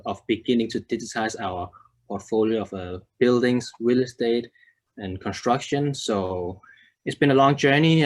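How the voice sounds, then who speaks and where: Man in his thirties, lowish voice, conference talk, halting with fillers and restarts. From Denmark. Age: 30s. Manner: hesitant, many fillers and restarts. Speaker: conference speaker. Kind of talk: presentation to a web audience.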